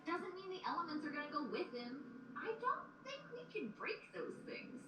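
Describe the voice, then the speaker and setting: Woman acting a high, whiny, cocky magician pony. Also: moderate pace. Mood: complaining. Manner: complaining, flippant, excitable. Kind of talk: cartoon-like fan audio drama acting. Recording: echoey, distant, noisy playback.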